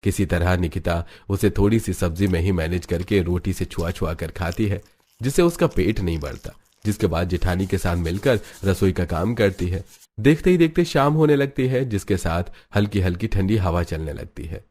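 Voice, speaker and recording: Deep-voiced male story narrator. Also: animated, moderate pace, a little mellow. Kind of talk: scripted cartoon story narration.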